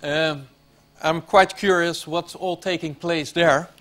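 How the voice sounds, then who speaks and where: Halting conference moderator, higher man's voice. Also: steady tone, a bit bright. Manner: careful, halting, searches for words. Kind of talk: introducing a speaker.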